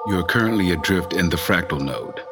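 A man playing a calm, cryptic cosmic guide in an audio drama, speaking in a measured, solemn, commanding way. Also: low voice, over music. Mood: solemn, instructing. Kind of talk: scripted audio drama acting.

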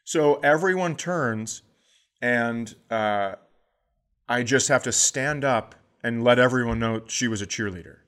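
A middle-aged comedian hosting a podcast, with a voice that sits in the middle of the male range. He tells stories with lots of energy and slips into quick impressions of other people. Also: mid-range voice, clear, resonant tone. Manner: animated anecdote-telling, mimicking others in quotes. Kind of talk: comic storytelling chat.

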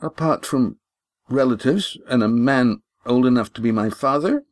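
A man voicing a fiery, sharp-tongued young woman with shrill, indignant outbursts. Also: moderate pace, dry recording, studio quality, close to an everyday voice. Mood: furious.

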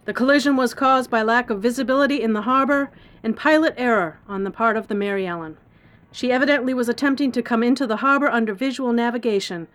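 A woman acts a government press secretary in an audio drama. She is composed, brisk and official, with a fairly high voice and formal, factual delivery. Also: close to an everyday voice.

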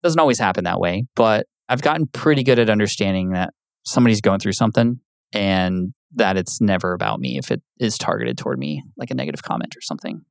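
Man, podcast guest, low-ish voice, dry close sound, earnest, patient, casual chat. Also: fast, vocal fry, no room sound. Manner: earnest, explains patiently with many fillers. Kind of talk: casual interview talk.

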